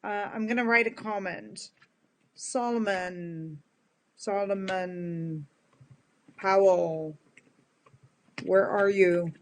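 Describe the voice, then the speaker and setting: A woman in her fifties from the Netherlands, speaking with a big booming voice in an earnest, heartfelt live-stream talk to her followers as a kindness speaker. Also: fairly even intonation, very slow, long pauses, slight background noise.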